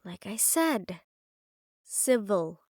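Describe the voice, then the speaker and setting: A woman's voice: medium pitch, big pitch swings, drawn-out words, a little mellow, no echo, clean studio sound, exaggerated voice. Casting: female actor as composed, nervy right-hand woman to a crime boss, intimate audio drama delivery. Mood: firm, cautioning. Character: poised, anxious female right-hand assistant to mafia boss. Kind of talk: intimate audio roleplay acting.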